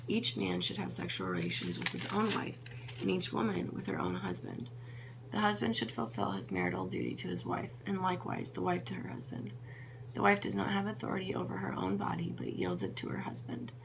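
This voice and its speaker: A woman reading Bible passages aloud in a steady, unhurried way, now and then correcting a slip. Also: on the low side, gravelly, background noise. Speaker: Bible reader.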